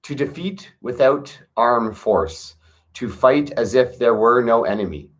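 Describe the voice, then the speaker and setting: A man reading an old wisdom text aloud in a measured, earnest way, with brief casual asides to his listeners. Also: medium pitch. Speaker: reader of a classic text.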